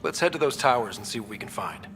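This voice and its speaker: Resolute young space explorer leader. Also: male voice, on the low side, sing-song, quick pace, over music. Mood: earnest. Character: young heroic male space explorer leading his squad. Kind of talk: scripted video game cutscene acting.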